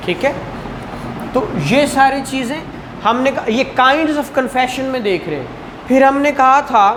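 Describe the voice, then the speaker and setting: Pakistani punjabi guy teaching law class, really slow n repetitive, some traffic-like noise behind him. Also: very high-pitched. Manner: didactic, repetitive, questioning the students. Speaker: law lecturer. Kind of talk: classroom lecture, code-switching into English terms.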